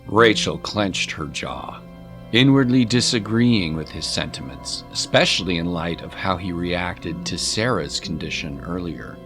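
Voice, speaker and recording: A man narrating a scripted story in a measured, literary and expressive dramatic style. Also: sits low, over music.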